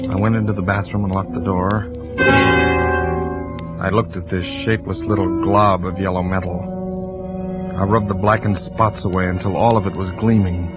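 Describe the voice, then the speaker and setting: An actor playing a tense, suspicious fire inspector and family man who narrates his own story deliberately, in 1940s radio drama style. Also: on the low side, close to an everyday voice. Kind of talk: 1940s radio acting, first-person suspense narration. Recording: muffled old radio recording, loud organ music.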